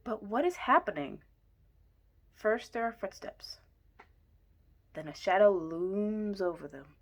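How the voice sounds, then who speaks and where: A woman reading a children's picture book aloud in an expressive way, with deliberate emphasis. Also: mid-range voice. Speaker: story reader.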